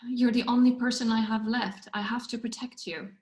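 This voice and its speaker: Woman as stern grandmother, protective, worried, scolding, rather high voice, script read, roomy echo. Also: level tone, normal speed, a little mellow, natural voice. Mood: pleading. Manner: scolding, pleading. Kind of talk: amateur script table read. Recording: echoey room.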